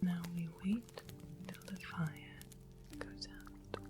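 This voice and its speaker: A woman playing a sweet but sly fantasy witch in a whispered ASMR roleplay, coaxing and softly menacing with polite, breathy speech. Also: on the low side, huge pitch swings, takes it slowly, exaggerated voice. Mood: sly, businesslike. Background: music and ambient sound.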